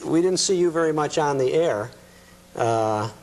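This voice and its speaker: A man's voice: a little high, lively intonation, unhurried, a little mellow. A man hosting a talk show, interviewing conversationally and rephrasing his questions as he goes.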